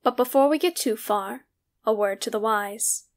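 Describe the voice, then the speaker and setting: A woman's voice: fairly high pitch, moderate pace. A woman announcer reading a scripted podcast introduction and content warning.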